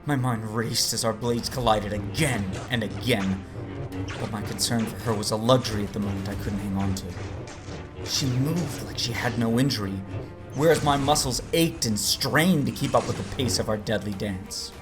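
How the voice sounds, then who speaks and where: An actor playing a battle-weary warrior father who narrates his own duel in an audio drama, grave and intense, breathy in places. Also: on the low side, normal speed, natural voice. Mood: tense, strained. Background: music.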